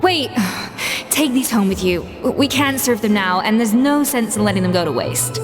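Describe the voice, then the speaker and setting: A woman's voice: fairly high pitch, lively intonation, moderate pace, lightly acted. A woman playing a stern, exacting head chef. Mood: curt. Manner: curt, exacting, dry. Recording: game audio with loud music.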